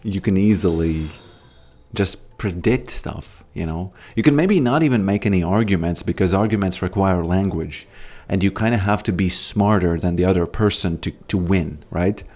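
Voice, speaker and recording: A man's voice: deep voice, moderate pace, a little mellow. A man from Latvia chatting casually with his viewers while streaming a game, rambling and musing as he plays. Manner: rambling, musing, greets chat. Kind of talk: casual gaming livestream chat.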